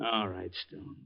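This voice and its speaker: Low, smooth, menacing racketeer. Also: male voice, full character voice. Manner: smooth, condescending, coolly threatening. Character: smooth, menacing racketeer boss with condescending politeness. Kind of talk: 1950s radio crime drama acting. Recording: muffled vintage radio recording.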